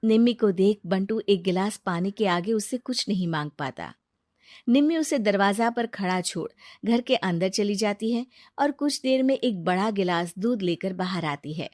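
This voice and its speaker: A woman's voice: medium pitch, animated, moderate pace, a little mellow, no echo, clean studio sound. A woman narrating a scripted moral story for a cartoon.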